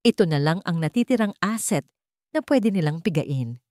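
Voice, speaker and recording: Mababang boses ng babaeng Pilipinang tagapagsalaysay. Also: female voice, on the low side, lively intonation, moderate pace, a little mellow, no echo, studio quality. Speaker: audiobook narrator. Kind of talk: dramatic scripted story narration.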